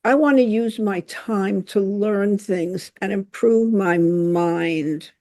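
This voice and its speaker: An older woman, a dancer, speaking as a guest on a podcast in a warm, effusive, unhurried way. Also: medium pitch, no echo, studio quality. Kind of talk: conversational interview.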